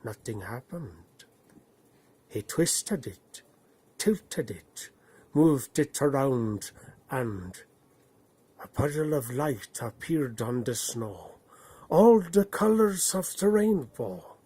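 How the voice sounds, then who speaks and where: A man narrating a bedtime story in a put-on Norse accent, with a husky voice and slow, dramatic phrasing that swells and hushes. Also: mid-range voice, big pitch swings.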